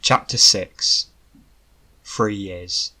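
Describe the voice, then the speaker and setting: A man's voice: lowish voice, very slow, sharp tone, breathy edge. A man reading scripted fantasy fiction as an audiobook narrator.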